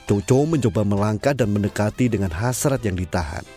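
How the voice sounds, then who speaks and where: Mellow low-voiced male narrator. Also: animated. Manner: measured storytelling. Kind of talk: scripted audio drama narration. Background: faint music.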